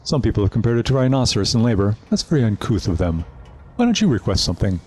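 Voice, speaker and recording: A man's voice: on the low side, sing-song, fast talker, mellow, breathy edge. A man playing a polite, eccentric crew member in an audio drama, chatty and a little fussy, over noisy ambience. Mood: genial.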